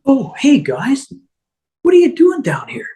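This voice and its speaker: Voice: high voice, wildly swooping pitch, a little slow, mellow, full character voice. An amateur actor playing a nervous, stammering schemer in a TV comedy table read, improvising cover stories. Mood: feigned casual.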